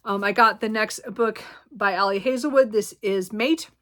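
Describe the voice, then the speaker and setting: A woman chatting casually about her new books, rambling with many ums and self-corrections, a little hoarse and clearing her throat. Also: medium pitch, normal speed. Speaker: book vlogger. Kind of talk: casual book haul chat.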